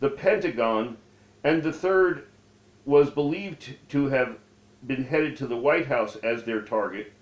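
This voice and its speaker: A man reading aloud from his own memoir as its author, with deliberate, halting phrasing. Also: mid-range voice. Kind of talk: read-aloud memoir narration. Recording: dull, echoey room recording.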